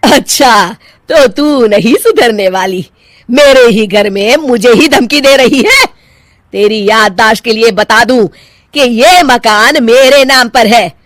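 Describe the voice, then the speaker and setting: A woman voicing a bossy, sharp-tongued older mother-in-law in a cartoon, scolding and sarcastic. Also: a little high, slow, no room sound, clean studio sound. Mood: scolding, smug. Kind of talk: Hindi cartoon voice acting.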